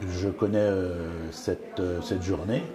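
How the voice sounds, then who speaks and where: Man, deep-ish voice, casual halting interviewee, crowd noise. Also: fairly even intonation. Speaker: event attendee. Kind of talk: vox pop interview. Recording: echoey room with crowd.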